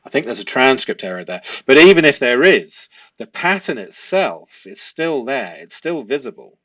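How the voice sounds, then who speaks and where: Male commentator, mid-pitched voice, analytical and stop-start delivery, close dry sound on slightly muffled audio. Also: sing-song, moderate pace, a bit bright, no echo. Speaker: host, statement analysis commentator. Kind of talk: analytical commentary reading transcript excerpts aloud.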